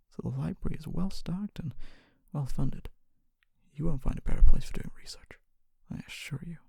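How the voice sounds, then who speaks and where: A performer voicing a kindly, humble fantasy city guard in a soft-spoken ASMR roleplay, often whispering, with a gentle, hesitant and reassuring manner. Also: mid-range voice, vocal fry, slightly rough, no room sound. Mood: curious, encouraging. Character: kindly, humble city guard, wannabe knight, calming and helpful.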